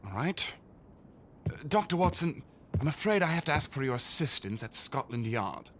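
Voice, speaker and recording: A man's voice: mid-range voice, big pitch swings, normal speed, fairly bright tone, slight background noise. A man playing an uneasy, official police inspector in an audio drama. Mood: official, awkward.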